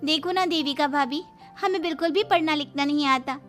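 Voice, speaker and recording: A woman's voice: sits high, fairly quick, fairly bright tone, soft background music. A woman voicing an anxious, eager young village sister-in-law in a pleading manner for a cartoon drama. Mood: earnest pleading.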